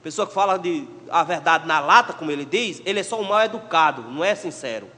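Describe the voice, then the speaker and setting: Pastor, male, Pernambuco accent, high voice, emphatic sermon delivery with soft asides, long church reverb. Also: lively intonation, fast. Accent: Pernambuco Portuguese. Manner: emphatic, swings between loud exhortation and quiet asides. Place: church sanctuary.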